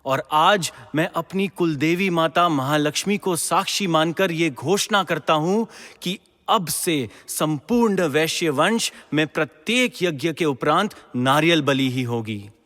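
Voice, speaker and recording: A man's voice: fairly high pitch, expressive, measured pace, a bit bright. A man playing a devout, principled ancient king in a mythological audio drama, speaking in a formal, declamatory way. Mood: resolute, respectful. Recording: long echoing hall reverb.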